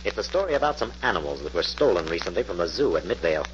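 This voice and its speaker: A confident American scientist-detective in a vintage radio mystery. His voice is fairly low, precise and authoritative. The recording is muffled, with faint noise. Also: very animated, rapid-fire. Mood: musing, assured. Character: confident, methodical male scientist-sleuth, brisk and commanding. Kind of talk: 1940s radio acting. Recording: muffled, noisy old-time radio recording.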